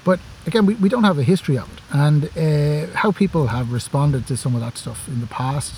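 An Irish man talks eagerly and casually, his voice mellow and soft-edged. He often repeats words as he gathers his thoughts. A faint outdoor ambience sits behind him. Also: on the high side, fairly quick, a little hiss or hum, low-quality recording. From Ireland. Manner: enthusiastic, repeats words while thinking. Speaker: guest, forestry worker. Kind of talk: casual podcast conversation. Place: outdoors among camping gear.

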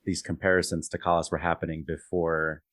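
Deep-voiced male host, rambling questions. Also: level tone, a little fast, fairly bright tone, no room sound, clean studio sound. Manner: chatty, rambling questions with many fillers. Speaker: podcast host. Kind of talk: conversational interview.

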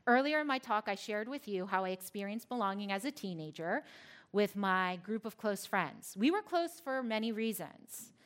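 A woman from Philadelphia giving a personal talk to a live audience, telling her story with playful asides to the crowd. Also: medium pitch. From Philadelphia, United States.

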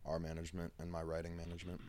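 A man, telling stories in a laid-back, halting way as an interview guest. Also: low voice, monotone, warm tone, slight background noise. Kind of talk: casual interview chat.

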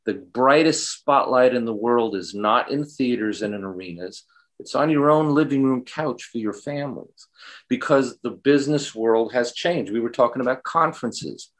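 A man speaking as an interview guest, giving earnest, deliberate and emphatic answers in a reflective way. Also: medium pitch.

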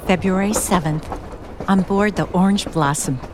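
An actress playing a naive, romantic, anxious newlywed bride in an old-time suspense radio drama, with gushing, halting speech. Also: female voice, fairly low pitch, background noise, natural voice. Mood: dreamy, pleased.

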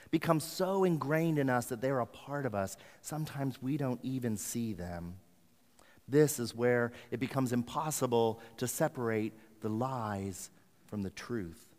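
A male preacher delivers a sermon with earnest, deliberate phrasing. His medium-pitched voice rings through a big hall with long reverb. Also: a little mellow. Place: large hall.

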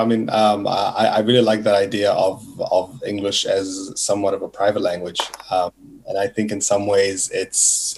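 Low, flat-voiced man poet. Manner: reflective, soft-spoken with many fillers. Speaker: poet on a festival panel. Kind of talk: panel discussion.

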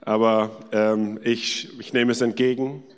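A man from India preaching as a guest, telling personal anecdotes in an informal, hesitant way with many pauses. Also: mid-range voice, little pitch movement, clear, resonant tone. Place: large church hall. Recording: echoey hall with long reverb.

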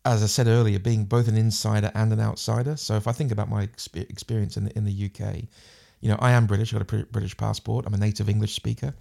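A man's voice: on the low side, flat delivery, fast, gravelly, hardly any pauses, dark tone. A man with a New Zealand accent, a podcast guest talking thoughtfully in casual conversation, often restarting and repeating words. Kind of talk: casual interview chat.

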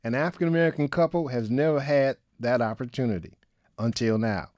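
A man hosting a broadcast interview, asking brief, steady questions. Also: medium pitch, brisk, no room sound, studio quality. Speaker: radio interviewer, host.

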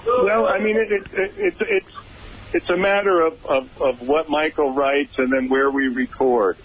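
A man's voice: a little high, moderate pace, lo-fi sound. A man, a music producer guest on a radio show, speaking hesitantly with stumbling starts. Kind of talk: casual radio interview chat.

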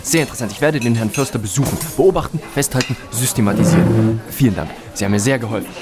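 An actor playing an eccentric, pedantic owl researcher in a radio play, precise and scholarly. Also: male voice, medium pitch, quick pace, over loud music, lightly acted. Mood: studious. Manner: precise, scholarly, fussy, mutters to himself.

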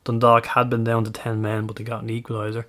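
Irish male podcast host, casual and chatty, quick to laugh, self-correcting. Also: lowish voice, monotone, a bit bright. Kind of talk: casual chat.

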